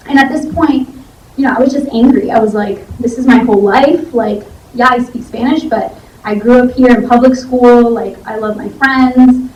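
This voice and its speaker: A woman's voice: on the high side, normal speed, darkish tone, a little hiss or hum. A young woman in her twenties, a law student from Ecuador raised in Miami, telling her story at a symposium in a conversational way with many fillers. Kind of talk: personal testimony at a symposium. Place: church hall. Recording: echoey church hall from far off.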